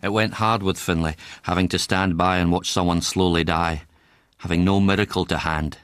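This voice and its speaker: An actor playing an older, world-weary, compassionate doctor who narrates reflectively in a radio drama. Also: male voice, low-pitched, steady tone, moderate pace, a bit bright, smooth, no room sound. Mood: rueful.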